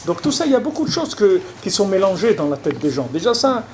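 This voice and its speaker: A man's voice: high-pitched, big pitch swings, fast talker, a little mellow. A man, a rabbi, giving a conversational public lecture, often repeating and restarting his words and checking that listeners follow. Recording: noisy lecture recording.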